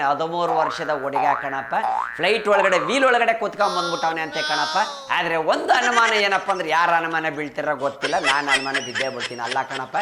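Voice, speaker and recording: A man's voice: a little high, very noisy. A man with a rustic Karnataka Kannada accent delivers a comic news monologue, folksy and chatty, tossing rhetorical questions at viewers. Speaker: host of a satirical political news segment.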